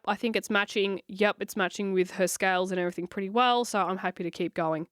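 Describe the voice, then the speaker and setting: A woman, hoarse and scratchy from a cold, talking through a coloring tutorial in a chatty, encouraging way. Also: mid-range voice, no room sound, studio quality. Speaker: coloring channel host.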